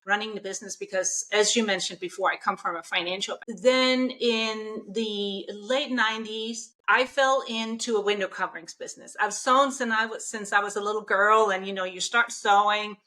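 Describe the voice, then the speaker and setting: A woman's voice: medium pitch, fairly even intonation, normal speed, hardly any pauses, pushed and bright, low-quality recording. A middle-aged woman from Denmark with a Danish accent, talking earnestly and with enthusiasm as a guest on a business interview podcast. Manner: earnest, enthusiastic storytelling with self-quotes. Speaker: guest, mindset coach.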